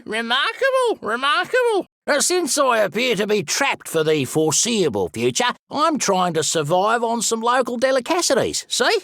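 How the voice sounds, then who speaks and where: A man's voice: high-pitched, vocal fry, a bit bright, dry recording, studio quality, heavily acted. A man playing an eccentric, self-important Australian wildlife explorer in a video game, chatty and pompous, rambling through long muddled words. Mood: chummy, weary. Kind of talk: animated video game character acting.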